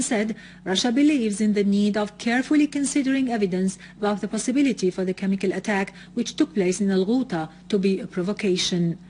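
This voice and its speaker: A woman news presenter reading a scripted bulletin in a formal, measured way. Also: on the high side, darkish tone, slight background noise, low-quality recording.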